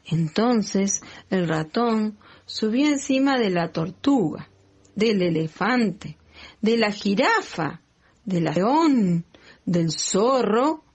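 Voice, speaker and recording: A woman's voice: mid-range voice, lively intonation, drawn-out words, a little mellow, breathy edge. A woman with an Argentine accent, a kindergarten teacher narrating a children's storybook.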